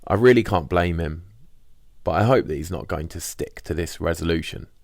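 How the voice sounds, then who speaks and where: A man reads a diary as audiobook narration. His voice is very deep with a lot of fry in it. The sound is dry, with no room around it. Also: normal speed, a little mellow, no room sound. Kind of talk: scripted first-person diary narration.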